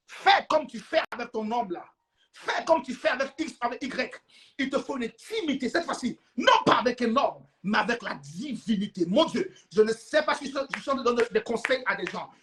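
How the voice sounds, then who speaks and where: A man's voice: very high voice, fairly bright tone, a little hiss or hum. A man preaching an informal, playful teaching sermon, repeating words and phrases insistently for emphasis. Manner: playful, acts out couple dialogue.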